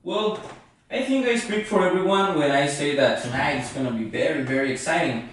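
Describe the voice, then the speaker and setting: A man's voice: a little high, expressive, fairly quick, close to an everyday voice. A man playing a philosopher who hosts a late-night talk show in an amateur skit. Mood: hosting. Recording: echoey room, distant mic, faint noise.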